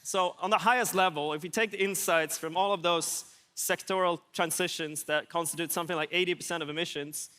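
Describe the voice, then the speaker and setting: High, bright-voiced man presenting in hall. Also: fairly even intonation, fairly quick, clear, resonant tone. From Sweden. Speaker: conference speaker. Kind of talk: conference presentation, informal. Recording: echoey hall with long reverb.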